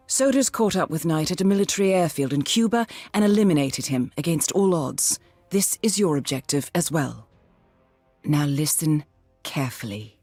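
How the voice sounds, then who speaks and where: An actress playing a composed, shrewd intelligence handler in a game cutscene, speaking in a measured, formal and precise way over dramatic music. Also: female voice, sits low, a little mellow, husky, dry recording, heavily acted. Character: composed, shrewd female spy agency handler. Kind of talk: scripted game cutscene briefing.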